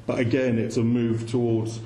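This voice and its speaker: Mellow-voiced man presenting in an echoey room. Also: mid-range voice, level tone, moderate pace. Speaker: conference speaker. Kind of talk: informal presentation to an audience. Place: indoor room with audience. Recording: echoey room with faint background noise.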